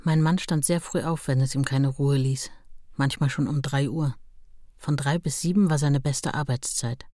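A woman's voice: a bass voice, fairly even intonation, moderate pace, warm tone, no echo, clean studio sound, faint music behind. An older woman reminiscing about her husband, telling it emphatically with quoted dialogue. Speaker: writer's widow recalling her husband. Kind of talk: spontaneous reminiscence.

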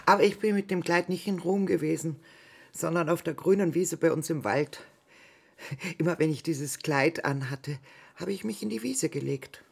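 Woman in her sixties, creaky husky voice, gentle, wistful, consoling, radio play. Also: sits low, normal speed, exaggerated voice. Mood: wistful, reminiscing. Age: 60s. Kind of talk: radio drama acting.

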